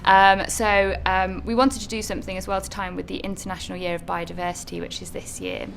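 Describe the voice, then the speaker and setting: A woman's voice: mid-range voice, flat delivery, brisk, creaky, slight background noise. A woman answering interview questions as a spokesperson for a charity.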